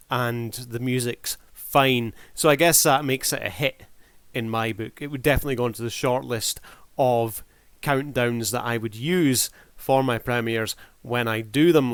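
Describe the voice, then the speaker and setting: A man's voice: medium pitch, fairly even intonation, moderate pace, smooth, dry recording. A man hosting a video review, talking casually off the cuff in a laid-back, wry way. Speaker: video reviewer and channel host.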